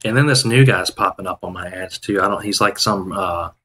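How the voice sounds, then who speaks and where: A man's voice: low voice, brisk, fairly bright tone, slight background noise. A man in his thirties chatting casually on a day-trading live stream from home, rambling with restarts and fillers. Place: home room, indoors. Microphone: headset.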